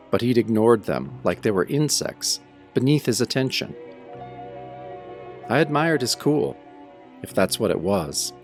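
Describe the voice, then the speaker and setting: Male actor as young werewolf narrator for audio drama: low voice, wry, introspective, music underneath. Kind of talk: first-person audio drama narration, old radio show style.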